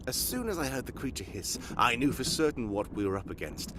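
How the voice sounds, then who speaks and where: A man acting a dry, animated radio detective. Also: mid-range voice, fairly quick, background noise, natural voice. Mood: dry, grave. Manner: formal, explanatory, dry wit. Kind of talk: live old-time radio drama acting.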